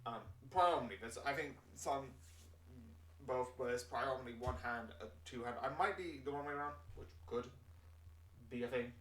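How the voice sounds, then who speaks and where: A man from the UK chats casually over a game stream at a middle pitch, rambling and correcting himself as he goes. His voice sounds roomy and set back from the mic. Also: moderate pace, fairly bright tone, recorded from a distance. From United Kingdom. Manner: rambling, with many fillers and self-corrections. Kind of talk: casual chat over gameplay.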